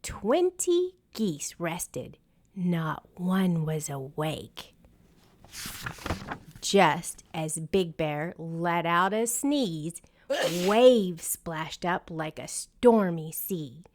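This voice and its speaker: Very slow, lowish-voiced woman storyteller. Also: a little hiss or hum. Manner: expressive, deliberate. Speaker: storyteller and channel host. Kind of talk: children's picture book narration.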